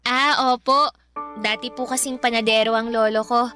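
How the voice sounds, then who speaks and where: A woman's voice: fairly high pitch, steady tone, moderate pace, pushed and bright, faint music behind. A woman playing a polite, eager young schoolgirl in a Filipino radio drama. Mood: polite, explaining. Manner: polite, deferential.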